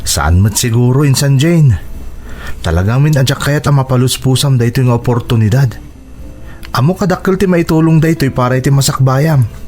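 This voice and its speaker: A man's voice: medium pitch, fairly quick, a little mellow, close to an everyday voice. An actor playing a concerned young Ilocano man, an encouraging cousin with an urging manner, in a radio drama.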